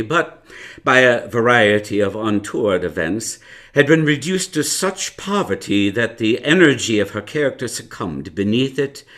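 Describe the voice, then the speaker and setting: Slow-talking elderly storytelling uncle. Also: male voice, medium pitch, fairly bright tone. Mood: nostalgic narration. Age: older. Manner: hesitant, chuckling, old-fashioned wordy storytelling. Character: elderly uncle recounting his youth, wry, reflective, teasing. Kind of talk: live radio drama acting.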